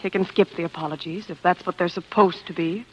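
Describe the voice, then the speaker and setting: An actress playing an earnest, determined wife in a 1940s radio drama, with a dramatic delivery that is quick to bristle. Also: female voice, lowish voice, fairly even intonation, slight background noise, lightly acted. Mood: indignant. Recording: dull, lo-fi vintage radio recording.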